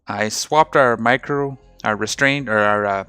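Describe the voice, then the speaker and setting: A man hosting a casual game video, talking through his ship setup with a slightly rough, hoarse voice. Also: fairly low pitch, measured pace, soft background music. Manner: relaxed, rambling, explains ship fittings. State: slightly rough voice after a night of singing. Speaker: gaming channel host. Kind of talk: casual gameplay commentary.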